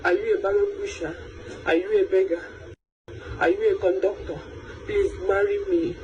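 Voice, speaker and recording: A woman's voice: mid-range voice, fairly even intonation, normal speed, lo-fi sound. A woman, begging over and over in a pleading tone. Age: 30s. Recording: noisy clip.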